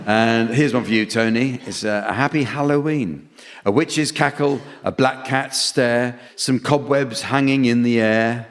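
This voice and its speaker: A man chairing a comedy radio panel game, reading out verses and jokes in a dry, deadpan way. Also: medium pitch, unhurried. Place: theatre stage before an audience. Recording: noisy hall with long reverb.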